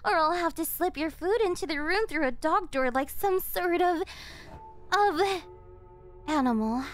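A woman's voice: extremely high pitch, lively intonation, measured pace, fairly bright tone, soft background music, heavily acted. A woman playing an obsessive, possessive young yandere girlfriend in an ASMR roleplay, with a cloying, coaxing manner that carries a sweet menace. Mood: indignant. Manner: cloying, coaxing, with breathy giggles and gasps.

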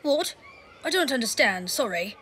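A woman playing a polite, bewildered schoolboy in an animated cartoon. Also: on the high side, a little slow, a little hiss or hum, full character voice.

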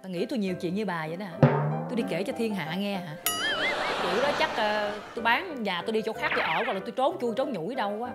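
A woman's voice: medium pitch, lively intonation, fast, loud music behind the voice, natural voice. An actress playing a touchy, boastful middle-aged rich mother in a comedic drama, scolding and exclaiming in a Southern Vietnamese accent. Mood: confiding, grumbling.

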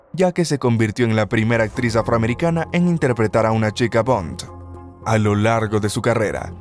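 A man giving steady, scripted narration for a countdown video. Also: mid-range voice.

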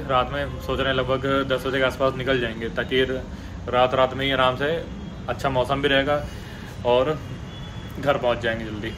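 A man's voice: mid-range voice, noisy background. A man vlogging casually outdoors at a hotel, chatting in a playful, teasing way. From Lucknow, India. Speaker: travel vlogger. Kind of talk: casual vlog chat.

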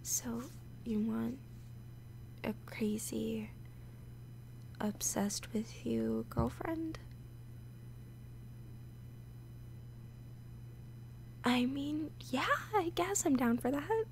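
A woman playing a nervous, awkward, obsessively lovesick young woman in an intimate audio roleplay, halting and often slipping into whispers. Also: fairly high pitch, vocal fry, a little mellow, a little hiss or hum, poor audio. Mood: incredulous, shy. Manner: halting, hesitant, breaking into whispers.

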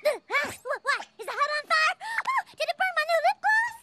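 A woman's voice: very high voice, very animated, a little fast. A woman voicing a vain, fussy, girlish young woman in a cartoon, whiny and dramatic. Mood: panicked. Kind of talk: animated cartoon acting.

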